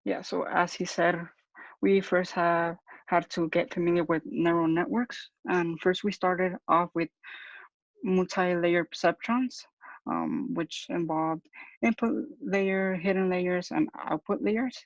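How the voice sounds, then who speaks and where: Gravelly young man, dull recording. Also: high voice, animated, slow pace, no room sound. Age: young adult. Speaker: student presenter. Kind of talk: explanatory project presentation. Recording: dull, dry lo-fi audio.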